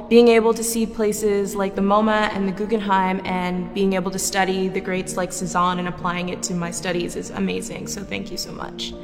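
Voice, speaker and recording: A woman's voice: mid-range voice, big-room sound. A woman from San Francisco, an art student, speaking gratefully.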